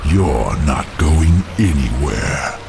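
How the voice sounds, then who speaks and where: An actor playing a menacing, scheming undead demon lord in a video game, imperious and gloating. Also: male voice, extremely low pitch, expressive, slow, creaky, very noisy, heavily acted.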